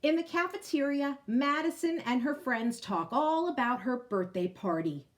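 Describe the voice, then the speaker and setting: A woman's voice: sits high, expressive, moderate pace, slight background noise. A woman reading a picture book aloud to children, explaining it and addressing young listeners directly as a teacher would. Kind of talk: expressive storybook read-aloud with asides to children.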